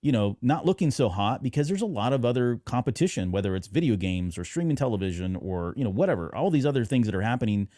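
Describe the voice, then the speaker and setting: Male podcast host, middle-aged, mellow mid-range voice, casual and discursive, recorded dry. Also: mid-range voice, big pitch swings, quick pace, few pauses, no room sound. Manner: discursive, agreeable, talking through points. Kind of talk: casual fan commentary.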